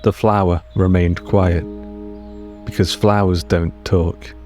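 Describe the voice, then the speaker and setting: A man narrating scripted audio fiction in a measured, deliberate storytelling manner with short dramatic phrases. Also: low-pitched, flat delivery, warm tone. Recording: music under the narration.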